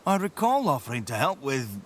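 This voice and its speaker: Man acting a lively, wry fantasy grumbler. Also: mid-range voice, fairly quick, a little hiss or hum, pitched above the performer's own voice. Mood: wry grumbling. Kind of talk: audio drama character acting.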